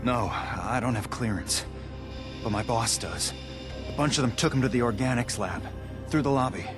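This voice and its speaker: A man's voice: medium pitch, moderate pace, over music, lightly acted. A man playing a shaken but helpful facility worker in a video game, hesitant and plain-spoken.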